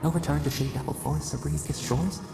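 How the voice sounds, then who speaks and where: A deep, creaking, solemn spirit. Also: female voice, steady tone, fast talker. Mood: solemn, pleading. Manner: solemn, cryptic, poetic. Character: eerie, sorrowful imprisoned spirit speaking as a collective. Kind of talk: dramatic video game voice acting. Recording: echoing voice under clear music.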